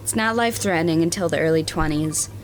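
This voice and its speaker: A woman playing a matter-of-fact starship doctor in a science fiction audio drama, explaining things in a measured, clinical way. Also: deep voice, faint music behind, close to an everyday voice, low-quality recording. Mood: grave, explanatory.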